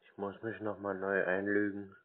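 A man on a phone call, answering tersely in a deadpan, obtuse way. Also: sits low, moderate pace. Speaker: scam-baiter playing a customer on the phone. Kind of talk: phone conversation. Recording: tinny, narrow-band phone line.

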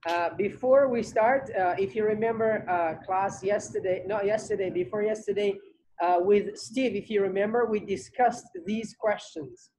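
A man's voice: sits high, moderate pace, darkish tone, a little hiss or hum, poor audio. A young man leads an online English lesson from his home through a laptop mic. He talks loosely, repeating words and filling gaps as he goes. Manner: repeats himself, many fillers. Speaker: English teacher hosting online class. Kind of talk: casual online class talk. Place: home room on video call.

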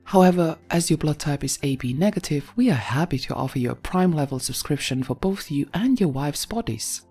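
A woman voicing a calm, bureaucratic government consul in an audio story, with an even, polite, detached tone and a husky voice. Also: sits low, fairly quick, soft background music.

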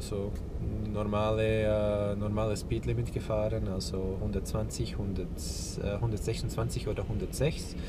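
Young man vlogging from his car. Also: on the low side. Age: young adult. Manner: relaxed, many filler sounds, thinking aloud. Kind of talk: casual vlog talk to camera. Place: inside a car, parked in snow. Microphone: camera mounted on the dashboard. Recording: noisy car interior.

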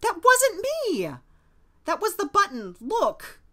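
A woman playing an awkward, gullible, nervous young man in an audio drama, hesitant and deadpan, over music. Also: very high-pitched, slow pace, exaggerated voice. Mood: flustered.

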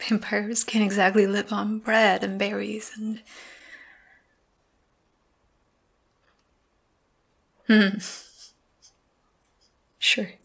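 Woman voicing a wry lovesick young vampire, whispers breathy in a flat tone, for an ASMR roleplay. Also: mid-range voice, heavily acted. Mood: teasing. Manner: soft whispering, dry teasing.

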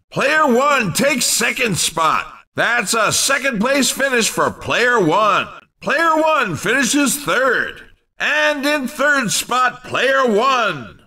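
An excitable video game race commentator, calls results with theatrical emphasis. His voice is very high and piercingly bright, and he takes his time over each unhurried phrase. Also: distant mic, heavily acted. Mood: upbeat.

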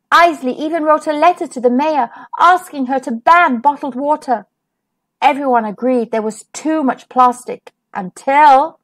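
A woman reading a children's picture book aloud as a storyteller, with expressive delivery that draws out and stresses key words. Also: sits high. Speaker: storyteller, host of a children's read-aloud show.

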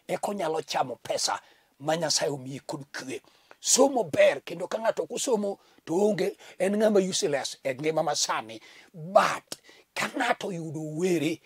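High-voiced, fervent male TV preacher. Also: husky, dry recording, clean studio sound. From Kenya. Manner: fervent preaching with sweeping hand gestures; repeats a thanks-to-God refrain. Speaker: pastor. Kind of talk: sermon. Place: indoor TV studio set at a desk.

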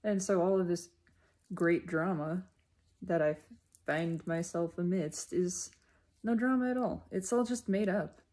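A woman giving an unscripted, reflective spiritual monologue in deliberate, halting phrases with emphatic repetition. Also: fairly low pitch, a little mellow. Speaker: speaker sharing personal spiritual reflections.

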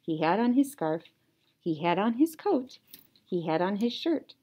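Young lady teacher doing storytime, super animated, slow pace, soft mellow tone. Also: medium pitch, husky. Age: young adult. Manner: animated, with playful sound words. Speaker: teacher reading aloud. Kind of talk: children's story read-aloud. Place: small indoor classroom or office.

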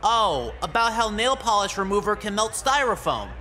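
A man's voice: high voice, big pitch swings, moderate pace, sharp tone, exaggerated voice. An actor voicing an awkward, nerdy teenage boy in a visual novel, hesitant and eager to please.

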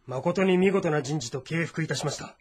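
An astute adviser to a warlord in a historical game. He speaks politely and with reason in a husky, slightly high male voice over faint music. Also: moderate pace, a little mellow. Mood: measured counsel. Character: astute, courteous male strategist advising his lord. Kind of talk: dramatic Japanese historical game voice acting.

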